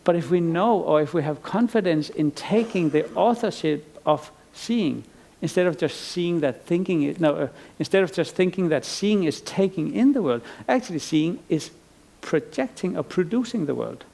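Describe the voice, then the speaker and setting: Gravelly-voiced man giving a rambling lecture. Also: on the high side, animated, moderate pace, few pauses, dark tone. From Iceland. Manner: thoughtful, rambling with frequent restarts and fillers. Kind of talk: conference lecture. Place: lecture stage.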